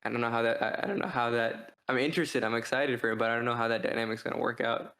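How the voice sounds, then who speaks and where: Guy cohosting a podcast, average pitch, pretty monotone, rambly and hesitant. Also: fast talker. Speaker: podcast co-host reviewing books. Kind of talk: casual discussion.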